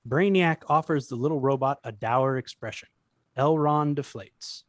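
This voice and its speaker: A man's voice: medium pitch, normal speed, no echo. A man narrating the stage directions of an action screenplay at a table read.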